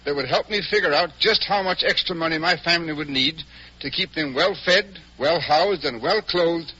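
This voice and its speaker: A man's voice: fairly high pitch, moderate pace, pushed and bright, husky. An American man playing an earnest family man giving a scripted testimonial in a 1940s radio ad. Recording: dull, muffled vintage radio recording.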